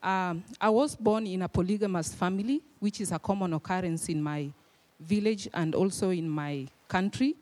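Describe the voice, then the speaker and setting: A young woman with a Kenyan accent gives her testimony in church. She speaks modestly and hesitantly, pausing on soft fillers. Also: on the low side. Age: young adult. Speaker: guest speaker. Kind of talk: personal testimony. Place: church hall.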